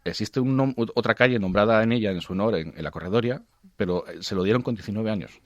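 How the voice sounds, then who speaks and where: A man with an Asturian accent presenting music in informal radio talk, halting and repeating words as he searches for the next one. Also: fairly low pitch, no room sound. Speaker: guest music commentator on a radio show.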